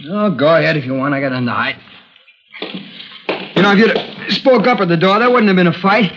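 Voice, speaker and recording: A man's voice: high voice, a little fast. A man playing an evasive, hot-tempered American longshoreman under questioning, slangy and defensive, in 1950s radio acting style. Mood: casual, grudging. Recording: muffled, noisy old radio recording with clicks.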